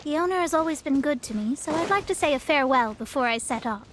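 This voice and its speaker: A woman's voice: high-pitched, expressive, fast talker, a little hiss or hum. A woman playing a proud, self-assured young female astrologer in a video game, speaking in a lofty, formal way. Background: background music.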